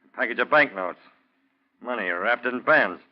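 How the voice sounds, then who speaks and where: An actor playing a terse, folksy small-town sheriff in matter-of-fact 1940s radio drama style. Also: male voice, medium pitch, expressive, normal speed, close to an everyday voice. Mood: businesslike. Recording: dull, band-limited 1940s radio recording.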